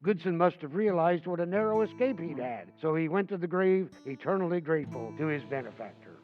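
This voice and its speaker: An actor playing an elderly author who narrates a tale in an old-time radio drama, with a measured, wry manner. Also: male voice, on the high side, animated, dull audio, over music, close to an everyday voice. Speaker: storyteller.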